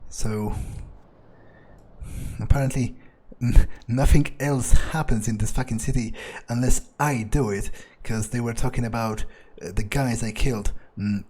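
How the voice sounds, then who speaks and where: Man playing a husky, grumbling sardonic vampire. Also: mid-range voice, a little mellow, natural voice. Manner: grumbling, sarcastic, swears freely. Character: sardonic, grumbling young male vampire thinking aloud. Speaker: game player narrating in character. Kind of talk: in-character roleplay monologue, casual.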